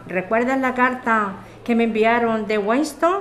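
A woman's voice: on the high side, unhurried, natural voice. A woman with an accent from Spain acting an eager, concerned amateur sleuth in radio soap dialogue. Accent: Spanish.

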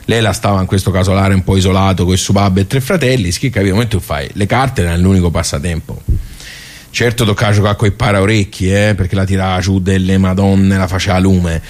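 An actor with a Tuscan accent playing a plain-spoken village social club regular in a radio monologue, rambling and hesitant, repeating himself with chatty asides. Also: male voice, low-pitched, fairly even intonation, fast, close to an everyday voice. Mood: gossipy, amused. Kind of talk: theatrical radio monologue, colloquial storytelling.

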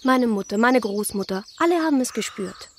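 A woman's voice: a little high, expressive, fairly quick, slight background noise, lightly acted. An actress playing a down-to-earth village wife and mother in a German radio play, speaking in a homely, insistent way. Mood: insistent, hurt.

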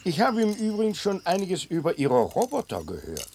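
An actor playing a smug, officious tax inspector in a German radio drama, chatty and unctuous with false courtesy. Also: male voice, high-pitched, huge pitch swings, moderate pace, gravelly, darkish tone, slight background noise, full character voice. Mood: smug, nosy.

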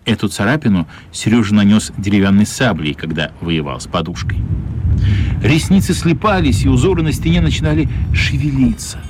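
A man narrating a children's story as scripted radio drama, with expressive, unhurried dramatic phrasing and a husky voice. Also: on the low side, slight background noise.

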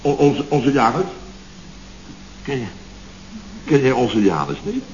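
Pompous muddled contractor boss, stammering bluster, old radio comedy, echoey n hissy recording. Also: male voice, medium pitch, very animated, slow, darkish tone. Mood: muddled, insistent. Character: blustering, self-important male building contractor boss, comically muddled. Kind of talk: 1970s radio comedy acting. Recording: roomy, muffled, noisy old radio recording.

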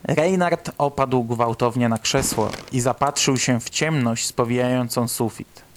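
A man's voice: mid-range voice, measured pace. Male narrator for a radio play, mid-range voice, scripted storytelling, slight noise in the recording. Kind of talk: scripted audio drama narration.

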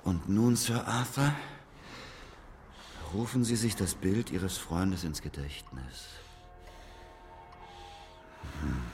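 An actor playing a polite, theatrical clairvoyant with a halting, dramatic delivery in a German radio drama. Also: male voice, low-pitched, a little mellow, faint music behind. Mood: assured.

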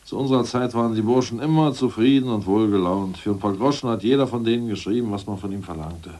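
An actor playing a scheming, self-assured ousted party official in a radio drama, brisk and mocking, who slips into bureaucratic jargon. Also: male voice, on the low side, mellow, lightly acted. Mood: scornful. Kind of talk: 1980s radio drama acting.

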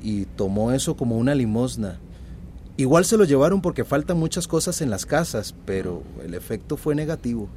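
A man's voice: medium pitch, very animated, normal speed, dark tone, a little hiss or hum, close to an everyday voice. An actor playing a deferential corporate PR man in a radio drama. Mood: nervous, reluctant. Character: deferential corporate PR underling and event pitchman.

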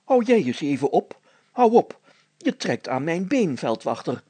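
A man's voice: on the high side, sing-song, a little slow, dark tone, slightly rough, no echo, voice pushed up, full character voice. A man voicing a fussy, bossy village mayor in a storybook, given to wordy, indignant grumbling.

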